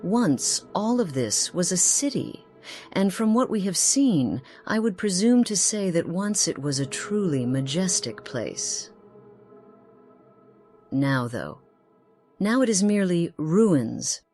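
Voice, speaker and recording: A woman voicing an aloof, guarded otherworldly companion in a fantasy game, speaking in formal, measured phrases. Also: on the low side, very animated, darkish tone, soft background music, exaggerated voice. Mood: fascinated, solemn. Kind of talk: scripted fantasy game dialogue.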